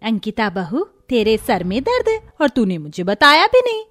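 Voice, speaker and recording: A greedy, fawning Indian mother-in-law from a cartoon moral tale, acted out by a woman. Her voice is high and swings widely in pitch as she fusses dramatically. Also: wildly swooping pitch, moderate pace, fairly bright tone, faint music behind. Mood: anxious, fawning. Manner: dramatic, fussing. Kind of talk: cartoon moral story acting.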